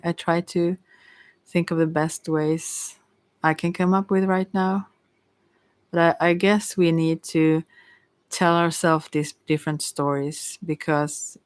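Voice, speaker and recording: A tarot reader giving casual, gently encouraging spiritual advice in an ASMR livestream, rambling hesitantly and often trailing off mid-thought. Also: medium pitch, clean tone, no echo.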